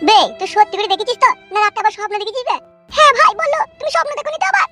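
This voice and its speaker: A woman voicing an excitable, foul-mouthed young village man in a Bangla comedy cartoon, with a coarse, slangy, agitated manner. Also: extremely high pitch, racing, faint music behind, exaggerated voice. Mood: anxious.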